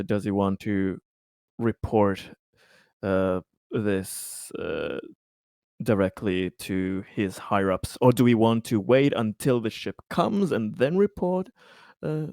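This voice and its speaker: A man with a smooth, low voice talks through his game choices at a slow pace. He is hesitant and casual, feeling his way through each thought. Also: a little mellow, no room sound, studio quality. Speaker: tabletop game player. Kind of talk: casual, deliberating game talk.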